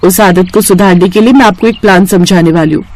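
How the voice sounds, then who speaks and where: A woman's voice: fairly low pitch, fast, darkish tone, close to an everyday voice. A woman voicing a friendly, earnest and outspoken young village woman in a moral story.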